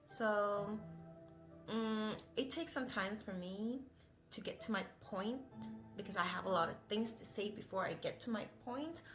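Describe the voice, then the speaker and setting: A woman's voice: mid-range voice, a little slow, fairly bright tone, faint music behind. A young woman, not a native English speaker, talking in a casual, rambling vlog with many hesitant fillers. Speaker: vlogger.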